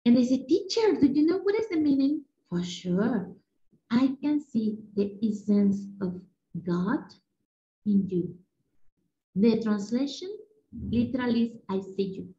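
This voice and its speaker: A woman from Mexico with a Mexican Spanish accent, a Spanish-speaking teacher giving a lively, chatty conference talk to other teachers over a video call. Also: fairly high pitch, a little slow, a little hiss or hum. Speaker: teacher presenting at an education conference. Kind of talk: presentation lecture over slides. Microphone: laptop or webcam mic on a video call. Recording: echoey room, dull lo-fi sound.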